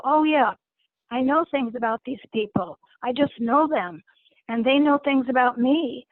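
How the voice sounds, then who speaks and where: A woman, as a guest facilitator, speaks gently to an online group and often repeats words as she searches for them. Her voice is hoarse. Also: high-pitched, dry recording, narrow, tinny audio. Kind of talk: informal lecture to an online group.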